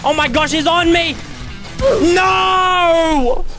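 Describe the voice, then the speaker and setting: A young man yelling through his own game session in a high, bright voice that cracks into squeals and groans. His voice is getting hoarse from all the shouting, and faint music plays under him. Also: drawn-out words. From Australia. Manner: loud, dramatic, squealing yelps and groans. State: starting to lose his voice. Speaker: gaming YouTuber. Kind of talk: excited gameplay commentary.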